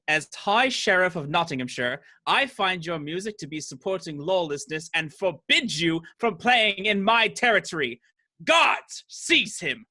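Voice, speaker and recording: Pompous radio-play sheriff, haughty, menacing, forceful bright voice, lively intonation. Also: male voice, a little high, full character voice. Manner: pompous, domineering. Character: haughty, menacing High Sheriff of Nottinghamshire, male.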